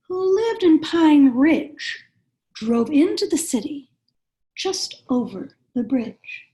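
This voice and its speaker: High-pitched woman reading a slow bedtime story. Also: dark tone, low-quality recording. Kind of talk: storybook read-aloud for children.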